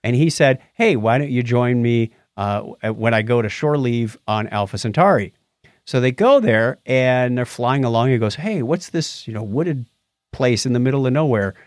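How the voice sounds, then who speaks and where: A man's voice: mid-range voice, animated, normal speed, darkish tone, dry recording. Casual male podcast co-host, mid-range voice, very dry close recording. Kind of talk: casual conversational chat and commentary.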